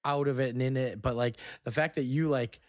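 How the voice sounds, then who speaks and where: A man's voice: medium pitch, fairly even intonation, a little fast, no room sound, clean studio sound. A man co-hosting a casual podcast, chatting in an informal, encouraging and joking way. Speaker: podcast co-host.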